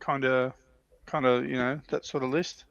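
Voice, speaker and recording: A man's voice: medium pitch, flat delivery, moderate pace, a bit bright, clean tone, no room sound, muffled recording. A man hosting a casual hobby livestream chat, talking hesitantly and restarting his phrases. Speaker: livestream host.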